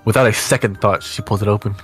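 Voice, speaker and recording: A man with a fairly low voice narrates a visual novel aloud. His phrasing is deliberate and suspenseful, like a dramatic storyteller's, and faint music plays behind him. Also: steady tone, fairly bright tone.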